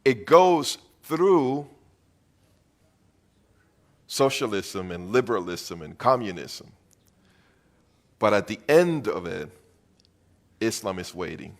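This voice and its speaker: A man's voice: fairly low pitch, big pitch swings, takes it slowly, long pauses. A middle-aged man from Venezuela preaching a sermon as pastor, earnest and emphatic, repeating phrases for weight.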